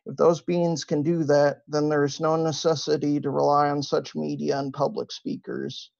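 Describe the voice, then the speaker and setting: A man's voice: a little high, flat delivery, moderate pace, creaky, darkish tone. A man from Ohio with a Midwestern accent, giving a hesitant debate opening full of fillers and restarts. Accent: Midwestern American. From Ohio, USA. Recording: dull lo-fi mic.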